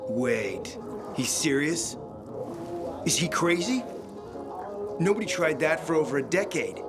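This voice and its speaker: An actor playing a suave, scheming middle-aged spymaster in a video game, speaking in a theatrical, smooth, insinuating way. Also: male voice, fairly high pitch, big pitch swings, unhurried. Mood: probing, wary. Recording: loud music behind the voice.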